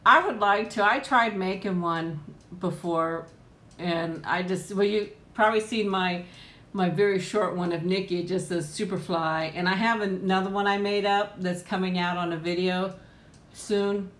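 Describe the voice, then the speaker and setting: A woman's voice: fairly even intonation, measured pace, a bit bright. A woman in middle age hosting a casual live chat with viewers at home, rambling and laughing often, with frequent ums. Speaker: host of a live chat and eating stream. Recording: echoey room with faint rumble.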